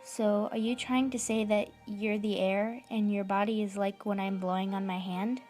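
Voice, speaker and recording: A woman's voice: medium pitch, flat delivery, moderate pace, a little mellow, soft background music, lightly acted. A woman playing an earnest, curious young child in a comic dub, with a hesitant, questioning manner. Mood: curious, puzzled.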